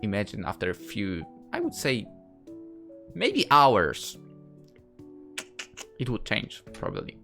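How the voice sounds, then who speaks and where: A woman with a low, lively voice hosts a let's play. She moves between story narration and amused, rambling asides, with light game music behind her. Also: slow pace, long pauses. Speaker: gaming video host.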